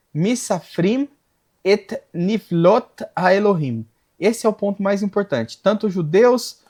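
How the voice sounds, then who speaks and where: High-pitched Brazilian man teaching scripture. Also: a little mellow. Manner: explanatory, repeating key phrases for emphasis. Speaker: religious teacher leading a scripture study. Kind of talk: Bible lesson, reading Hebrew aloud and translating.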